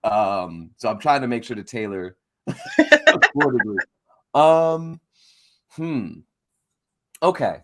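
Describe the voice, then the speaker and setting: A man hosting a show, chatting casually in an informal, halting way as he thinks out loud. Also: medium pitch, big pitch swings. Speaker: host of a comics breakdown show. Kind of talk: casual interview chat.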